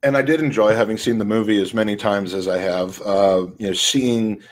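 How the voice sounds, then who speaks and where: A man's voice: lowish voice, fairly even intonation, fairly quick. An American man in his forties hosting a casual interview over a video call in a rambling, informal way. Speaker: podcast host. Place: room at home, indoors.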